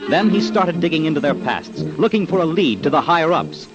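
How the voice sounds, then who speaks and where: A man's voice: a little high, big pitch swings, brisk, dull audio. A man narrating an old-time radio crime documentary in a dramatic, punchy, emphatic scripted style. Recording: old radio with loud music.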